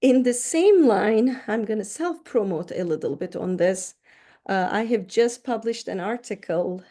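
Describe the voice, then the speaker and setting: Middle-aged woman from Turkey, gravelly and warm, thoughtful interview guest who restarts words. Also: mid-range voice. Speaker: counseling professor, guest. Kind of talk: interview answers.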